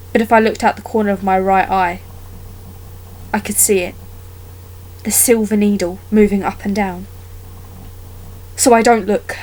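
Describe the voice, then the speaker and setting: A woman performing a dramatic monologue as a wounded young woman haunted by a childhood attack, telling it haltingly with deliberate pauses. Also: mid-range voice, darkish tone, rough recording. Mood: haunted, afraid.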